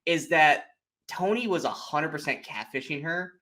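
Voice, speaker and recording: Man, higher voice, bright forceful tone, casual film podcast co-host, animated, swears freely. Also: moderate pace. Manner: animated retelling, swears freely, acts out reactions. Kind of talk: casual movie spoiler chat.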